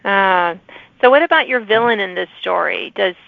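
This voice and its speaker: A woman's voice: mid-range voice, expressive, moderate pace, creaky, no room sound. A woman hosting a podcast, interviewing a guest in a conversational style. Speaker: podcast host. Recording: dry, thin phone-like audio.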